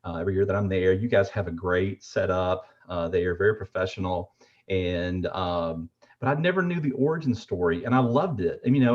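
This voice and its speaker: A man's voice: deep voice, a little mellow, muffled recording. A man talking casually on a video call, chatty and full of fillers. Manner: chatty and praising, with many fillers.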